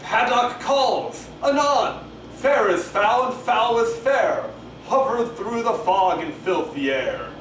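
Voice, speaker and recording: A man voicing an eerie Shakespearean witch in a theatrical, drawn-out, put-on voice, in an amateur play reading. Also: high-pitched, lively intonation, fairly bright tone, pitched above the performer's own voice, heavily acted. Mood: calling out, incantatory. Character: eerie witches chanting a spooky prophecy. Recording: echoey, noisy camcorder audio from far off.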